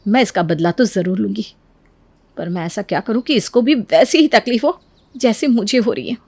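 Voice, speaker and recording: A woman's voice: mid-range voice, animated, moderate pace, a little mellow, breathy edge, no room sound. A woman voicing a resentful elder sister-in-law, bitter and scheming, in a cartoon family story. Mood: vengeful, scheming. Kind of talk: animated family story dialogue.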